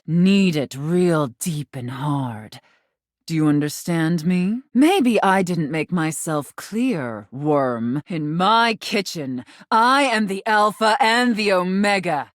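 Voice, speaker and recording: An actress playing a haughty, sultry, domineering mistress in a video game, commanding and condescending, with drawn-out teasing emphasis. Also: female voice, lowish voice, no room sound, studio quality. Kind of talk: theatrical video game character acting.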